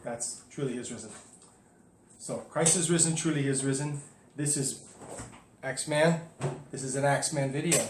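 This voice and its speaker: A man's voice: mid-range voice, moderate pace, long pauses. A man, a priest, speaking casually and candidly to his parishioners in a home video, with emphatic rises. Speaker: Orthodox priest. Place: indoors at home. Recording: echoey room with faint noise.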